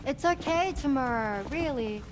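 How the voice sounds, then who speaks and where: A woman's voice: high voice, animated, a little slow, noisy background. A woman acting as a tender, soothing motherly figure in a video game.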